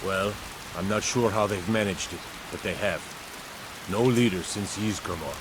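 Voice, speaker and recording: An actor playing a gruff, honest old blacksmith, proud of his craft, in a fantasy video game. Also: low-pitched, normal speed, background noise, lightly acted. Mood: proud, earnest. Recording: game dialogue under loud rain and storm.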